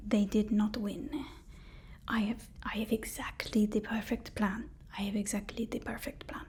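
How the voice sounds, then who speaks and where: A woman whispering an ASMR roleplay as a deferential, fussy personal assistant to a supervillain, speaking haltingly and repeating her phrases. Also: mid-range voice, little pitch movement, darkish tone, a little hiss or hum. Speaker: ASMR performer.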